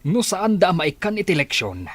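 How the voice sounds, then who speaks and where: A man's voice: sits high, very animated, normal speed, noisy background. A man playing a stern farmer father, exasperated with his lazy children, in a radio drama. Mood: angry, exasperated.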